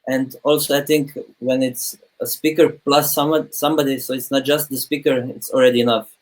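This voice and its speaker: A man from Slovenia chatting casually on a video call, a bit halting and thoughtful. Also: medium pitch, little pitch movement, poor audio. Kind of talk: casual chat.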